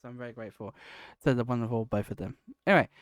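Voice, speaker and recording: Male podcast host, casual and meandering, slightly sniffly; crisp voice, dead-dry close mic. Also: mid-range voice, very fast, no echo. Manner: rambling, self-correcting, warmly grateful. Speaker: podcast host and project lead. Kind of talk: casual solo podcast talk.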